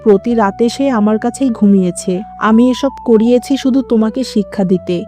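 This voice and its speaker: A woman's voice: fairly high pitch, a little fast, dark tone, soft background music, lightly acted. A woman voicing a stern older mother-in-law with a firm, admonishing manner in a cartoon. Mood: firm, explaining. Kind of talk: Bengali moral-story cartoon dubbing.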